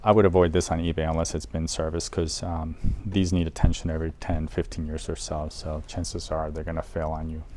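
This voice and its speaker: A man's voice: low voice, mellow. A man presenting a casual product guide in an audio shop, talking informally and with enthusiasm for the gear. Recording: noticeable background noise, room ambience.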